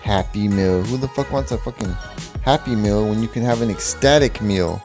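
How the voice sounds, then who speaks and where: A man talking off the cuff, rambling and swearing, with a voice-over over music. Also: medium pitch. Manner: profane, rambling, laughs at own jokes. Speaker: vlogger. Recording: voice over loud background music.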